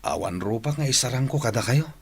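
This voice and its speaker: A man's voice: medium pitch, expressive, quick pace, husky. An actor playing a shamefaced, apologetic village man in a radio drama, subdued and sheepish, with an Ilocano accent. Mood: ashamed, subdued. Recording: faint noise and ambience behind.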